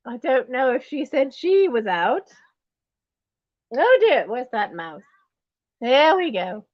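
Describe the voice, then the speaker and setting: A woman's voice: high-pitched, very animated, slow, no echo, lo-fi sound, full character voice. A woman playing a snobbish posh lady in a wig and diamonds, with a put-on posh British accent, running an auction and talking down to her viewers. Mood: haughty. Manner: condescending, calls everyone darling and commoners. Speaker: auction host. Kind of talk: in-character livestream auction.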